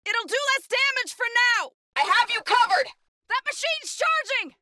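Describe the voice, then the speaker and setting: Intense, bright-voiced young warrior woman. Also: very high voice, big pitch swings, moderate pace, slight background noise, full character voice. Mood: urgent, fierce battle cries. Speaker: video game voice actor. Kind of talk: scripted game combat barks and companion lines.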